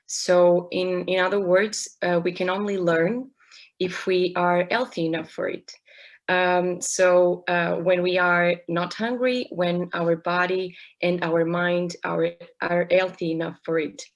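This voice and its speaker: Young female guest, health educator, halting delivery, low and even. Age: young adult. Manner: hesitant, many fillers and restarts. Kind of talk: casual interview talk.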